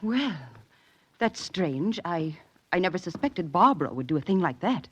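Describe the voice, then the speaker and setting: An actress playing a prim, proper, middle-aged school headmistress in a 1940s radio drama, formal and hesitant. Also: female voice, lowish voice, very animated, moderate pace, slightly rough. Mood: flustered. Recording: dull vintage radio recording.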